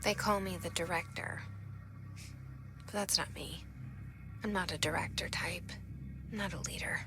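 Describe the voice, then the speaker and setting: An actress voicing a guarded young video game heroine's inner monologue, hushed, breathy and introspective. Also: female voice, fairly low pitch, unhurried, loud music behind the voice, lightly acted. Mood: brooding resolve. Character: guarded, searching young heroine thinking to herself.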